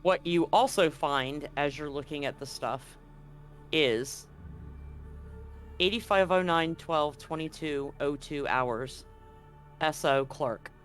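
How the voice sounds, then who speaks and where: A man with a slightly high voice works as game master, narrating and reading out in-game documents. Music plays behind him as he guides the tabletop session. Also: takes it slowly, long pauses, a bit bright.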